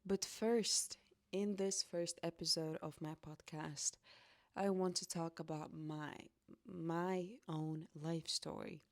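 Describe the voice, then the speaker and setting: A young woman from Latvia speaks slowly and earnestly as she hosts her own podcast, telling her life story. Her voice sits a little low and sounds dry and close, with no room around it. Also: fairly even intonation, dry recording. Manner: slow, reflective and earnest. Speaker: podcast host. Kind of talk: personal storytelling monologue.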